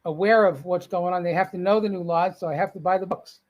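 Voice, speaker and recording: A man's voice: high voice, fairly even intonation, fast, a little mellow. An older man with a Boston accent, telling stories as a guest in a casual upbeat interview. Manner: folksy, upbeat storyteller.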